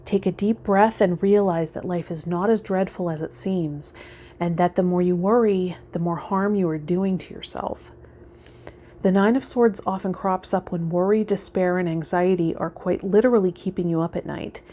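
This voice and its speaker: A woman hosting a vlog as a tarot reader, talking to viewers in a gentle, reflective way and offering spiritual guidance. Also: on the low side, moderate pace. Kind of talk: casual spiritual guidance talk to viewers, partly read out.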